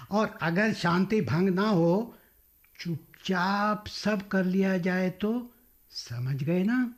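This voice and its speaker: High-voiced sly schemer. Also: male voice, animated, exaggerated voice. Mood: sly, confiding. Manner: insinuating, measured. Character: sly, self-assured village schemer giving crafty advice. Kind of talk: Hindi radio play acting.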